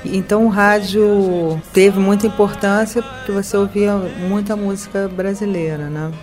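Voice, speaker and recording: A woman's voice: mid-range voice, steady tone, normal speed, loud music behind the voice. A woman, reflectively recalling her past in an interview, with restarts. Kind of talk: recollecting in an interview.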